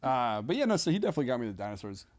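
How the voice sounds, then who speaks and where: A man who is a comedian guest on a podcast, chatting casually in a halting, rambling, slangy way. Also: mid-range voice, very animated.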